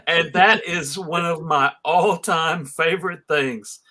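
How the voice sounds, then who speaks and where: Male panel host from Alabama, high-pitched and bright, casual, joking and exclamatory. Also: slow pace. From Alabama, United States. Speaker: host of a fan convention panel. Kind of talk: casual animated panel chat.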